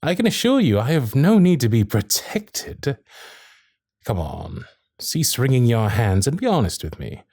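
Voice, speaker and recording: Animated, courtly Victorian gentleman, acted by a man. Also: medium pitch, a little slow, dry recording, studio quality, heavily acted. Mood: earnest, coaxing. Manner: formal, genteel period diction, flirtatious. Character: courtly, infatuated Victorian gentleman suitor, protective and witty. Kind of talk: ASMR romantic roleplay, period piece acting. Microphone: close studio microphone.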